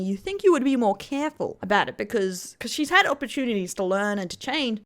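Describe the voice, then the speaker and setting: A woman hosts a commentary video and talks through each clip in a skeptical, critical tone. Her voice sits at an ordinary middle pitch. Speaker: commentary host. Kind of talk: reaction commentary.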